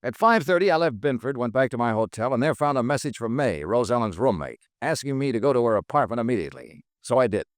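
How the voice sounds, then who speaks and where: A man's voice: medium pitch, animated, quick pace, few pauses, no echo, lightly acted. A man playing a wry hard-boiled radio detective. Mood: brisk. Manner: clipped, dry, wisecracking delivery. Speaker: insurance investigator narrating his own case. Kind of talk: 1950s radio drama acting.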